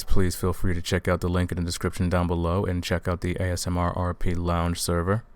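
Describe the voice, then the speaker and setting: A man's voice: low voice, darkish tone, no echo. A man speaking as a channel host in a casual, upbeat, chatty outro to viewers. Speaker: host, voice actor.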